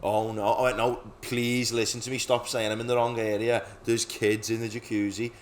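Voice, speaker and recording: A man's voice: medium pitch, flat delivery, normal speed, a little hiss or hum. A young man co-hosting a podcast, chatting casually and telling stories with lots of swearing and laughter. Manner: sweary, storytelling, laughs often. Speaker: podcast co-host. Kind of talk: casual chat.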